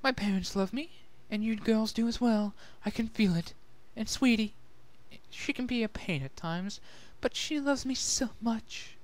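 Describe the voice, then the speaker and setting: A man voicing a refined young female pony who tells of a sorrowful past in a soft, measured, earnest way, in a dramatic story reading. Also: high voice, voice pushed up. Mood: tender. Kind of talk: dramatic fan-fiction reading.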